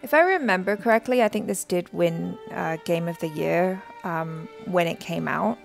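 A woman's voice: fairly low pitch, normal speed, gravelly. A young adult woman giving casual reaction commentary as a show host. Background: game soundtrack music.

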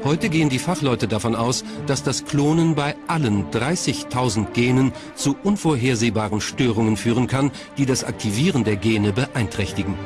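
A man's voice: mid-range voice, normal speed, few pauses. A man reading scripted documentary narration as the narrator. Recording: music behind the voice. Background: background music.

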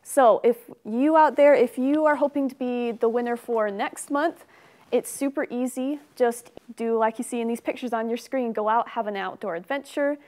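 A young woman hosts a webinar from a studio set, speaking in a fairly high voice. She reads audience questions and announces prizes in a friendly, upbeat way. A lapel mic picks her up clearly. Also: normal speed, darkish tone. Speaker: webinar host. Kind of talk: hosting a webinar.

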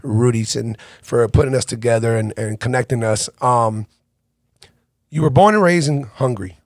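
Excited male podcast host, dry studio. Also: medium pitch, moderate pace, dry recording. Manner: energetic, enthusiastic, chatty. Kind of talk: casual interview. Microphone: boom-arm studio mic with headphones.

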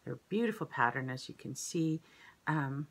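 A woman in her fifties with a croaky throat, chatting at home to the camera as host of a knitting podcast, rambling with many fillers. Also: a bass voice, lively intonation, moderate pace. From Canada. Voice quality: slightly croaky. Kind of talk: casual chat to camera. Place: kitchen at home, indoors.

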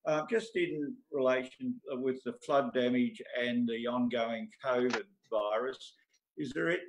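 A man's voice: medium pitch, fairly even intonation, a little slow, low-quality recording. Plain-spoken Australian male councillor. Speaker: local councillor. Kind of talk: plain-spoken questioning in formal meeting.

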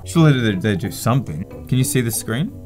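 A man's voice: medium pitch, big pitch swings, quick pace, a little mellow, soft background music. A man in his twenties hosting a gadget video with casual, blunt, sweary, joking banter in a studio. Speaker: video host testing gadgets. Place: small indoor studio at a table.

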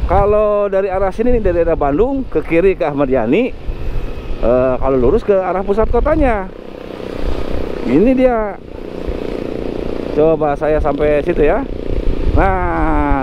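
A man gives chatty, self-correcting commentary from his motorcycle, guiding viewers along the road. His voice sits high. It comes through a helmet camera mic with a slightly dull tone and faint traffic underneath. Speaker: motovlogger. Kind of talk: casual ride commentary. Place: riding a motorcycle on city streets outdoors. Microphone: helmet-mounted action camera mic.

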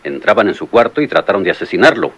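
A man's voice: sits low, very animated, quick pace, a bit bright, husky, heavily acted. An actor playing an earnest police lieutenant who insists on his point to his captain, in an old radio drama. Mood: insistent. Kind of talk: 1960s radio drama acting.

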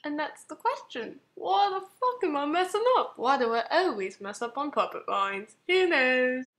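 A high-pitched woman's voice in an informal amateur dub read. She trips over her lines and openly faults herself for it. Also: sing-song, moderate pace, fairly bright tone. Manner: self-critical, stumbling over lines. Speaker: amateur comic dub voice actor. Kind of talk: reading comments aloud, informal comic dub.

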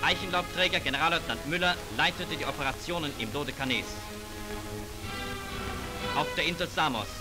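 A man's voice: fairly high pitch, normal speed, long pauses. A man narrating a wartime propaganda newsreel in a formal, declamatory manner, on an old 1940s film soundtrack. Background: loud music, war sound effects.

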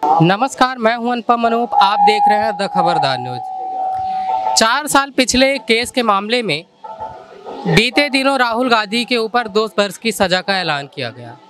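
A male reporter opening a street interview segment with a brisk, formal news delivery. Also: high voice, sing-song, loud music behind the voice. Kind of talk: news reporter intro and questions. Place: outdoors at night. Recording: crowd noise behind.

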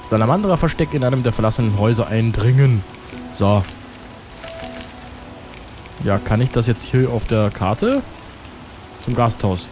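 A man with a cold giving casual, rambling commentary over his gameplay, muttering to himself. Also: fairly low pitch. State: has a cold. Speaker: let's play commentator. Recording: over loud game music.

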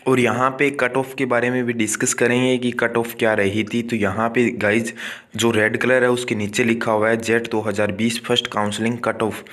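A male tutor records a walkthrough of his phone screen. He speaks in a fairly flat, even voice at a middling pitch. He calls his viewers "guys" and keeps restating each figure with "that is to say.". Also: moderate pace. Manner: addresses viewers as guys, repeats and restates numbers with frequent "yaani ki". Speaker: online tutor for agriculture exams.

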